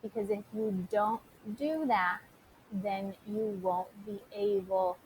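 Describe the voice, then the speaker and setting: A woman with a mid-pitched voice speaks slowly as a teacher walking students through an on-screen tutorial. She is informal and instructional, addressing listeners directly step by step. A faint noise and low rumble sit behind her voice. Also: poor audio.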